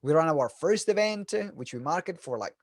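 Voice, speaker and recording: A man's voice: fairly high pitch, lively intonation, fairly quick, dry recording, studio quality. A man from Italy with an Italian accent gives an informal, joking talk to an online audience, telling stories as a business coach. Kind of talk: animated presentation to an online audience. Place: online event.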